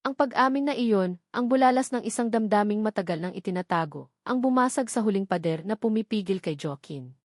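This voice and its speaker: A woman's voice: mid-range voice, lively intonation, a little fast, no echo, clean studio sound. Woman narrating a dramatic love story. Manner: emotive storytelling.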